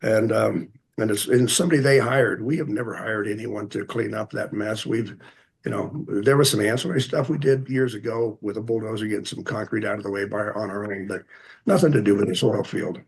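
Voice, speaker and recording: An American man giving halting, plain-spoken public comment at a hearing, speaking earnestly and hesitantly. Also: on the low side, gravelly, husky. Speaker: member of the public addressing a commission.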